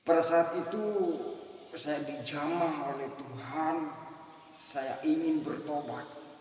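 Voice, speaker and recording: A young man in his twenties from Central Kalimantan, giving an earnest religious testimony in short, halting phrases. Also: fairly high pitch, fairly even intonation. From Central Kalimantan, Indonesia. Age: 20s. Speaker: speaker giving a personal testimony. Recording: very echoey hall, mic far off, faint noise.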